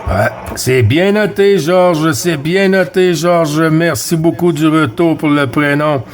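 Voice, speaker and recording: A man's voice: a little high, slow. A man with a Québécois French accent working as a CB radio operator, trading callsigns in a casual, friendly way. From Quebec, Canada. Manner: friendly, addresses others as friend.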